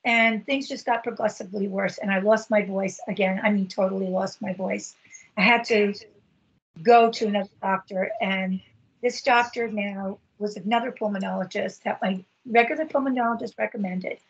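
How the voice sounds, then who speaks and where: A middle-aged woman talks on a video call. Her voice is husky and breathy, and her telling is earnest and halting. The sound is dull and lo-fi. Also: medium pitch, steady tone. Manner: earnest, halting, many fillers. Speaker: patient advocate. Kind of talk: personal story in a video meeting.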